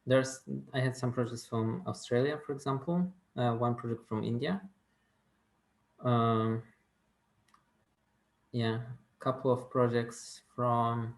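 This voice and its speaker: A man answering questions as a robotics consultant in a casual video call chat, speaking slowly and hesitantly with many fillers. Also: medium pitch, roomy sound.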